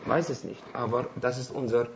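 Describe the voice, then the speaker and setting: A man's voice: mid-range voice, a little fast, highs cut off, noisy background. A man from Palestine whose first language is Arabic, speaking German as a guest speaker answering audience questions, hesitant, with many fillers and restarts. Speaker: invited speaker.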